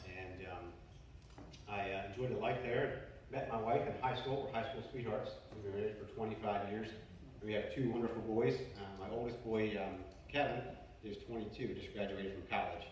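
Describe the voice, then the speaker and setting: A man's voice: fairly low pitch, fairly even intonation, normal speed, darkish tone. Chatty man in an echoey hall. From Baltimore, USA. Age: 40s. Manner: chatty with frequent fillers and restarts. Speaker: finance presenter. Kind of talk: casual company presentation. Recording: echoey room, distant mic, faint noise.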